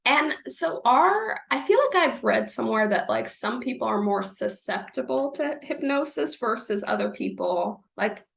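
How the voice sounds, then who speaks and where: A woman's voice: on the high side, lively intonation, normal speed, creaky, hardly any pauses, fairly bright tone. A woman hosting a casual interview who asks her questions with a lot of hedging "like"s. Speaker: host. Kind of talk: casual conversation.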